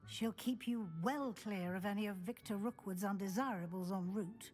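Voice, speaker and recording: An actress playing a brisk, shrewd senior professor at a wizard school in a video game, formal and authoritative. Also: female voice, mid-range voice, a little mellow, soft background music, lightly acted. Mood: brisk, businesslike. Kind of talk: scripted video game acting.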